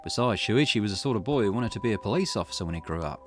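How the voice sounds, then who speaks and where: Man playing a shrewd, animated amateur sleuth. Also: lowish voice, rapid-fire, faint music behind, close to an everyday voice. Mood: confidently explaining. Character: shrewd, self-assured amateur sleuth reasoning out a crime.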